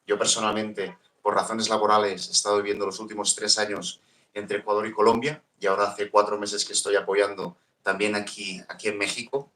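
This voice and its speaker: Man, low flat voice, measured delegate speech, echoey room. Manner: halting, measured. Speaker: Catalan cultural delegate. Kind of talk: formal speech at a panel.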